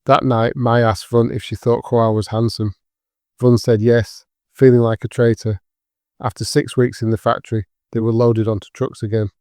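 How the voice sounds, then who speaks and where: A man narrating dramatic fiction for an audiobook in a sombre, measured, scripted manner. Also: medium pitch, flat delivery, a little mellow, clean tone, no room sound, clean studio sound.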